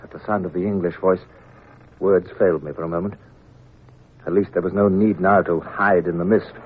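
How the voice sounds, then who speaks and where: An actor playing a naval captain who narrates his own story in a measured, somber, reflective way, in an old radio drama. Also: low-pitched, steady tone, close to an everyday voice. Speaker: narrator and lead character. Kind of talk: 1950s-style radio drama. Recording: tinny, hissy old radio broadcast.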